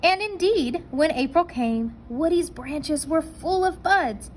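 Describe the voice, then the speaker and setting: A woman reading a picture book aloud to children, pausing to point young listeners to the pictures. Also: high-pitched, expressive, a little hiss or hum.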